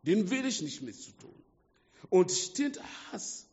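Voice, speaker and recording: Man, non-native German accent, emphatic sermon, unhurried delivery, lo-fi reverberant hall. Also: sits high, very animated. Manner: slow, emphatic preaching with swings between hushed and loud. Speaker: preacher. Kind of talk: sermon to a small congregation. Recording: dull lo-fi sound with long hall reverb.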